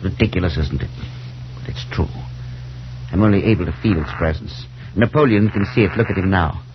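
An actor playing a nervous, irritable, haunted professor in a 1940s radio drama, speaking haltingly and with emphasis. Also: male voice, low voice, natural voice. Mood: uneasy, intense. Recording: dull, hissy 1940s radio broadcast.

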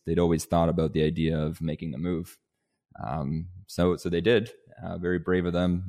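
A man in his twenties, originally from Denmark, talking as an interview guest in a relaxed, rambling way with restarts and "you know"s. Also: low voice. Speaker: guest, agribusiness vice president. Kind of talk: casual interview conversation.

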